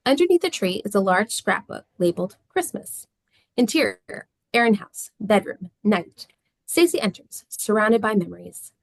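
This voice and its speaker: Casting: female script narrator, mid-range with noticeable fry, little room sound. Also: mid-range voice, lively intonation, moderate pace, dry recording, poor audio. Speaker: stage-direction narrator.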